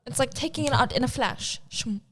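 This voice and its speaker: A woman co-hosting a podcast, chatting casually in an animated, opinionated way. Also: sits high, brisk, husky. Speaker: podcast co-host. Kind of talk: casual group banter.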